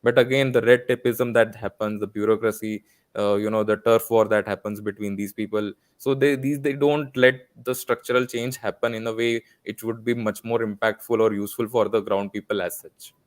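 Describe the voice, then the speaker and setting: Indian male speaker, smooth tone, dry close sound, formal long-winded phrasing, earnest panel guest. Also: on the low side, steady tone, normal speed, no room sound. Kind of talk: earnest podcast discussion. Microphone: online call.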